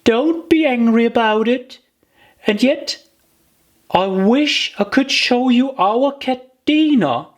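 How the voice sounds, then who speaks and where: A woman as a high-voiced, eager little girl. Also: expressive, slow pace. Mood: soothing, fond. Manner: earnest, chatty, apologetic. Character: curious, polite, eager young girl. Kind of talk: children's audiobook character voice.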